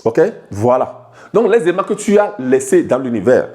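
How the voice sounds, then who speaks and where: A man from Togo gives an informal lesson as a life coach, speaking straight to the viewer at a middle pitch. His delivery halts often, with repeated words and restarted phrases as he works through each idea. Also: sing-song, normal speed, warm tone. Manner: addresses the viewer directly and familiarly. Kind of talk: informal video lesson to camera.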